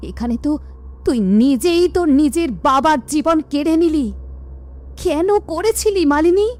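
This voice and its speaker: A woman's voice: high-pitched, normal speed, darkish tone, close to an everyday voice. A woman voicing a distraught middle-aged mother who pleads and scolds in a cartoon. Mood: reproachful. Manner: pleading, tearful.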